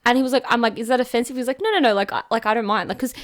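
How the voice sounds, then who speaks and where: A woman's voice: on the high side, rapid-fire. A young woman with an Australian accent, co-hosting a podcast, rambling through stories and giggling often. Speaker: podcast co-host. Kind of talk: casual chat.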